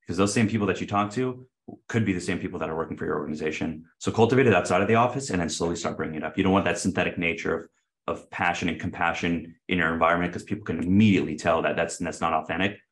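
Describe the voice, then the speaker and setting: A man's voice: sits low, very fast. A man in his thirties, a company chief guesting on an interview show, speaking earnestly with many fillers and restarts. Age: 30s. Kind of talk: casual interview talk.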